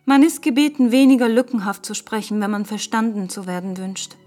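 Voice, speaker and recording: A woman's voice: medium pitch, fairly even intonation, brisk, warm tone, faint music behind, natural voice. An actress playing a cool, worldly lady who teases haughtily and drops French phrases. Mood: cool, mocking.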